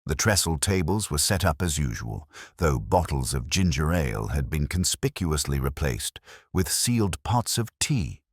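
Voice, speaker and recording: Guy narrating a mystery audiobook, super deep voice, lively delivery, dry close mic. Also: moderate pace, slightly rough, dry recording.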